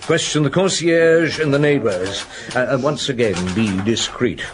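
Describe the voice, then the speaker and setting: Guy acting gruff but kindly detective chief, radio play, thinks out loud, voice goes up and down a lot, bit of noise behind. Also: medium pitch, animated, moderate pace, close to an everyday voice. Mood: brisk, instructing. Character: brooding middle-aged police chief inspector, dogged but kindly. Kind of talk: naturalistic radio drama acting.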